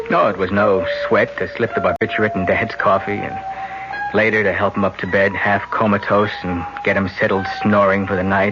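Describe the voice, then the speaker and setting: A man playing a low-voiced scheming young man. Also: lightly acted. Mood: tense narration. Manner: low, insistent, coaxing then drunk and rattled. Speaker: narrator and lead character. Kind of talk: 1970s radio drama acting. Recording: dull lo-fi old radio broadcast with music.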